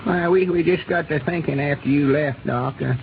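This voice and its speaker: An actor playing a kindly old Arkansas country codger with a folksy rural Southern drawl in a 1930s radio drama. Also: male voice, on the high side, normal speed, creaky. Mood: hushed, concerned. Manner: folksy, plain-spoken rustic drawl. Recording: noisy, muffled 1930s radio recording.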